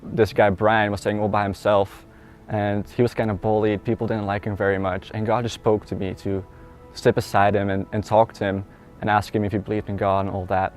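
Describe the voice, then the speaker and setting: Dutch-accented teenage boy, flat low voice. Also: a little fast, faint music behind. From Netherlands. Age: teens. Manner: earnest, conversational with fillers. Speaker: student. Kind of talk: personal testimony.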